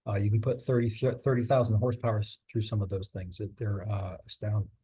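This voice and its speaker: A man's voice: on the low side, steady tone, fast talker, mellow, husky. A middle-aged man giving a casual talk about his maritime career, loose and chatty with many fillers and restarts, and losing his voice a little. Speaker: guest speaker on a maritime career.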